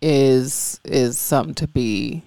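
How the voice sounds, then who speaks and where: A woman co-hosting a podcast, candid and earnest, a little raspy and stuffy from allergies. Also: a bass voice, slow, mellow, no echo, studio quality. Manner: candid, earnest, rambling with fillers. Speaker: podcast co-host. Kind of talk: casual chat.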